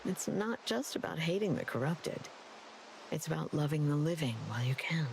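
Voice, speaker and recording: An actress playing a weary, grieving woman in a video game, gentle and grateful, speaking in earnest, formal phrases. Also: sits low, expressive, moderate pace, vocal fry, a little hiss or hum, rough recording. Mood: solemn, weary.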